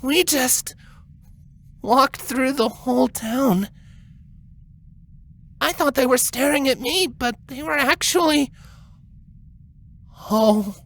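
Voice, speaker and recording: A woman's voice: high voice, full character voice. A woman voicing an anxious, protective young male dragon who halts and stammers, in a fan fiction reading. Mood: stunned, dismayed. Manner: halting, stammering.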